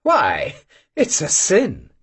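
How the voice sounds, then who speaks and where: A man voicing a polite, earnest young male cadet who speaks mildly, in a husky voice. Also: on the high side, wildly swooping pitch, very slow, fairly bright tone, voice pushed up, heavily acted.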